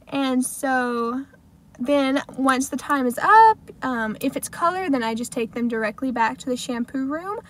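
A woman's voice: high voice, expressive, measured pace. A woman in her twenties with an American accent talks casually to camera in a car, in a chatty way peppered with "like". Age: 20s. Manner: chatty, frequent "like" as filler. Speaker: salon assistant vlogger. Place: inside a parked car.

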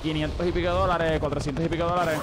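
A young man, hoarse from shouting, chatting casually with his live-stream viewers and greeting them by name. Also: a little high, little pitch movement, very fast, background noise. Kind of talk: casual live-stream chat while gaming. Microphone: studio mic on arm, headphones.

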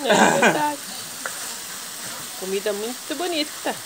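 A woman's voice: a little high, lively intonation, takes it slowly, a crowd in the background, poor audio. A woman chatting casually as a co-host, with short, agreeable, appreciative reactions. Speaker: co-host filming the cook. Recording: loud frying sizzle and stirring noise.

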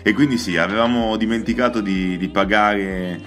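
A man's voice: lowish voice, moderate pace, fairly bright tone, soft background music. A man, chatting casually as a podcast co-host. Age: 20s. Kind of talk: casual chat.

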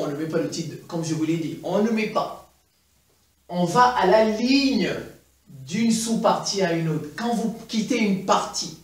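A middle-aged man with a Central African French accent giving an animated chalkboard lesson, repeating points with many fillers. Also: sits high, recorded from a distance. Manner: animated, gesturing, repeats points with frequent "eh ben, voilà". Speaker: teacher. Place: classroom in front of a chalkboard. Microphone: far camera. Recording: very echoey room, voice from afar.

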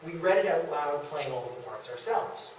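Guy giving a history talk, slightly high voice, super echoey room, far from the mic. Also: lively intonation, normal speed. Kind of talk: public lecture with slides, storytelling. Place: small indoor lecture room at a historic house. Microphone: camera a few metres away. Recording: distant camera mic, very echoey room, faint noise.

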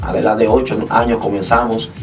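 A man's voice: lowish voice, level tone, fast, far from the mic, soft background music. Earnest Cuban man on studio interview. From Cuba. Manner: earnest, explanatory, sings gospel lines. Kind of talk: radio interview. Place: small radio studio. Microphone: studio mic with headphones.

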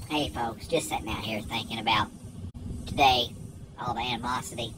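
A man's voice: medium pitch, steady tone, moderate pace. A man in a comedic vlog who plays a tour guide and rambles to his phone camera and to people off camera. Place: outdoors, by a lake, then indoors in a truck cab. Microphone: phone at arm's length. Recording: noisy outdoor phone recording.

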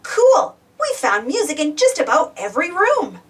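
A woman's voice: very high voice, huge pitch swings, moderate pace, far from the mic. A woman reading a children's storybook aloud, exclaiming and putting questions to young listeners. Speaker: storybook reader. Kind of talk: animated children's read-aloud.

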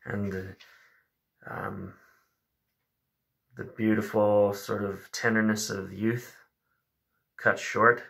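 A man's voice: fairly low pitch, flat delivery, very slow, vocal fry, long pauses, a bit bright. A man in his thirties talking about and reading poetry in a reflective, informal way. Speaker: host of a poetry reading video. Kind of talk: poetry recitation and casual commentary. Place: small room at home with a bookshelf, indoors.

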